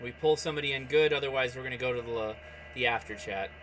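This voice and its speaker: Animated man livestreaming, bright forceful voice. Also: medium pitch, quick pace, slight background noise. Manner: excitable, reacts aloud, talks to viewers and contacts by name. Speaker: host of a ham radio livestream. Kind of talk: casual livestream chat and running commentary while operating radio.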